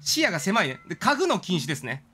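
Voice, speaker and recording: Theatrical higher-pitched man streaming a game. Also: sing-song, quick pace, pushed and bright. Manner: wry, theatrical asides. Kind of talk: joking gameplay commentary, reading game dialogue aloud. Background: game music.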